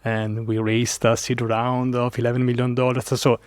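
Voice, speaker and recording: A man from Italy with an Italian accent, telling a story as a podcast guest in an animated way with many fillers. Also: mid-range voice, normal speed, smooth, dry recording. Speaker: guest developer advocate. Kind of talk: casual podcast interview.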